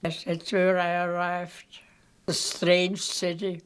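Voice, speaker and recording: A woman's voice: fairly low pitch, monotone, takes it slowly, clear, resonant tone. An older woman from Germany, telling her life story plainly and matter-of-factly. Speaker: interviewee. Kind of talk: personal life story told in an interview.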